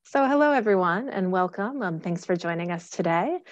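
A woman's voice: lowish voice, normal speed, no room sound. A woman from Pennsylvania with an American accent, giving a friendly, explanatory archive talk over a video call with a headset. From Pennsylvania, USA. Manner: friendly, steady, explanatory with fillers. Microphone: headset earphones on video call. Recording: dull lo-fi video call audio.

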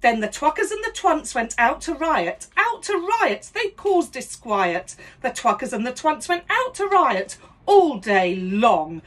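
A woman's voice: high-pitched, very animated, measured pace, hardly any pauses, fairly bright tone. A woman in her fifties doing a cheeky mock-schoolteacher comedy act, singing and laughing as she goes, filmed in a small room indoors. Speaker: comedy host. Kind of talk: rude comic song and story parody.

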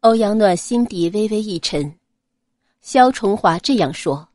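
A woman narrating an audiobook in an expressive, scripted storytelling style. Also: medium pitch, unhurried, dry recording, studio quality.

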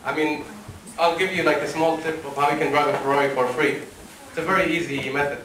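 A young man from Oman giving a motivational talk to a seated audience, animated and laughing at his own stories. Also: fairly high pitch, fast talker, a little hiss or hum. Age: young adult. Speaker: motivational speaker. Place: wood-panelled lecture room with a seated audience. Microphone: room mic at a distance while he walks the stage. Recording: echoey hall from far off.

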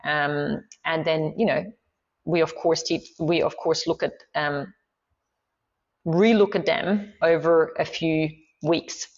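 A middle-aged woman speaks enthusiastically as a guest, pausing with frequent fillers as she thinks aloud. Her voice sits on the low side for a woman. The remote call audio sounds slightly dull and muffled. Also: steady tone. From Switzerland. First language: Swiss German. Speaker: movement coach, podcast guest. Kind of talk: casual interview talk.

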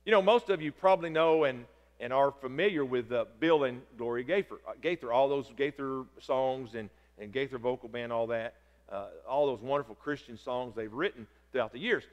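A middle-aged man, preaching loudly and excitedly from a church pulpit, often stumbling over his words. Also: medium pitch, brisk, lo-fi sound. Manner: loud, excitable preaching with repeated stammers. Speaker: pastor. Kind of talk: sermon. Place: large church sanctuary. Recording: long reverb of a church hall.

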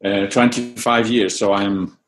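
A man whose first language is Dutch, speaking as a guest in an interview, pausing often with fillers and restarts. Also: fairly low pitch, fairly even intonation, soft background music. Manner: hesitant, many fillers and restarts. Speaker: guest musicologist. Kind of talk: conversational interview.

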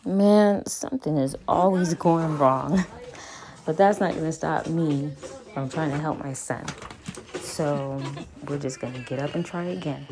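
A woman in her thirties, a parent vlogging home therapy with her child, speaking in a calm, monotone, matter-of-fact way from a phone camera in a small playroom. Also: sits low, measured pace. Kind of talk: home therapy vlog, talking while working with her son. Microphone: phone camera on a stand a few feet away.

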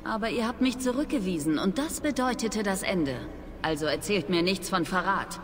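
An actress playing a proud, aloof young witch in a video game, with measured, formal, disdainful speech. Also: medium pitch, animated, close to an everyday voice. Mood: bitter, disdainful. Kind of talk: dramatic video game dialogue. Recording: long reverb, noisy game ambience.

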